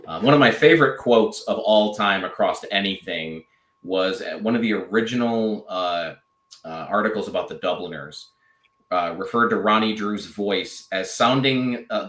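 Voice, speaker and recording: A man with a fairly low voice, a guest chatting casually on a podcast, rambling with many fillers and restarts. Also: moderate pace, a bit bright, roomy sound. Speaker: podcast guest, draft analyst. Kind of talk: casual chat.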